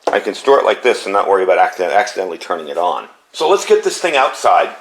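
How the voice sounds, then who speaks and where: Low gravelly man with a scratchy cold. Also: huge pitch swings, fast talker, reverberant. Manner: chatty, often adds "okay?". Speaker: product reviewer. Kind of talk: casual product review. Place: home garage and yard.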